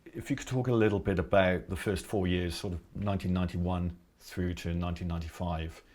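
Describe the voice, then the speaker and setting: A man interviewing, asking measured and slightly hesitant questions. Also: low-pitched, steady tone, darkish tone.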